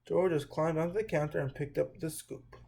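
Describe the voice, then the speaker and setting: A man reads a children's storybook aloud as host. His voice is mellow and fairly high for a man, with frequent creak, and he reads in a slightly halting way.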